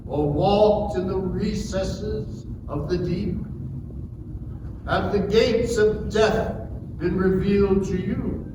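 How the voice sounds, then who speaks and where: A man playing a majestic, stern God in a dramatic scripture reading, declaiming deliberate challenges. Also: sits high, distant mic. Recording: echoey hall from afar, noisy.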